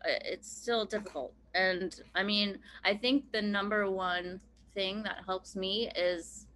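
Bright-voiced chatty woman. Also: medium pitch, fairly even intonation, slow, a little hiss or hum. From Pennsylvania. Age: 30s. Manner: chatty, many "like" fillers, laughs easily. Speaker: podcast guest. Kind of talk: casual conversation.

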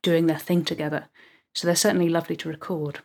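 Young adult woman, actor guest; low-pitched, chatty and enthusiastic. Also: flat delivery, fast talker, darkish tone. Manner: chatty, enthusiastic, thinks aloud with ums. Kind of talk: casual interview chat.

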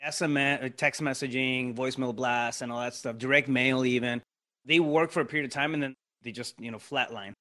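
A man's voice: mid-range voice, fairly even intonation, fairly quick, bright, forceful tone. Man, Mexican Spanish accent, native Spanish speaker, podcast guest, explains step by step, conversational. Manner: explanatory, lays out steps, frequent tag questions. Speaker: podcast guest, real estate investor. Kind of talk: casual interview talk.

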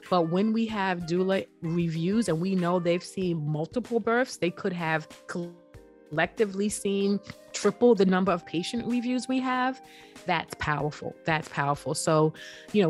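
A woman founder speaking as an interview guest in an earnest, emphatic way, often checking in with "right?". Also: fairly low pitch. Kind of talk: conversational interview.

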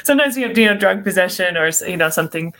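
A woman with a slight Minnesotan accent, an appellate attorney answering interview questions in an earnest, measured way, with many "you know" fillers. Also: lowish voice, animated, a bit bright, low-quality recording.

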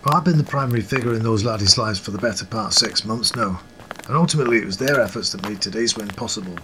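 An actor playing a genteel, proud yet modest Scottish football coach in a period audio drama, speaking with formal old-fashioned courtesy. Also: male voice, fairly low pitch, fast talker, background noise, close to an everyday voice. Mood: earnest, modest.

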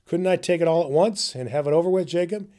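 A man's voice: a little high, fast, dark tone, pitched above the performer's own voice, natural voice. A man voicing a frightened old miser who pleads and trembles, reading aloud from a storybook.

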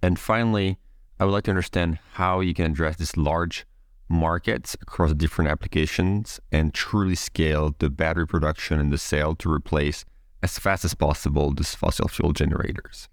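A man hosting a podcast, reading a scripted introduction and then asking interview questions in measured, formal phrasing. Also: rumbling low, steady tone, no room sound, studio quality. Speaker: podcast host.

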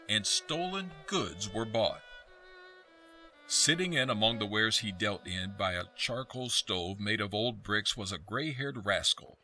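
Narrator casting: man, forceful mid-range storytelling for radio drama, slightly distant, faint fiddle music behind. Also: medium pitch.

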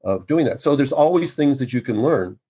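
A man in his sixties, an obstetrician speaking as a guest in an interview, with a loud, emphatic and earnest way of talking. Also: medium pitch, expressive, moderate pace, slightly rough. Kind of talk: conversational interview.

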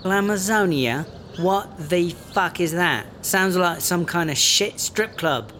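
A man's voice: high voice, slow pace, bright, forceful tone, a little hiss or hum, heavily acted. A man playing a brash, foul-mouthed English smuggler in a sci-fi comedy audio drama, sardonic and slangy. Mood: exasperated.

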